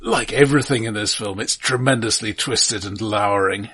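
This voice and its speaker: Low, husky man co-hosting a podcast. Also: moderate pace, pushed and bright. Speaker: podcast co-host. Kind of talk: casual film discussion.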